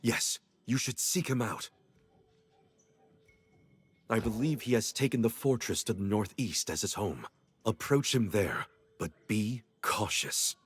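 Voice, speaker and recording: A man playing a grave, watchful informant in a fantasy video game, speaking in a husky, measured, earnest way. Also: lowish voice, lively intonation. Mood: thoughtful, cautioning. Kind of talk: video game character dialogue.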